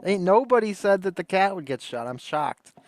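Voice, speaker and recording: A male streamer talks in a high-pitched voice with casual slang, reacting as he goes. The sound is dry and close. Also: big pitch swings, moderate pace, no room sound. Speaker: livestream host.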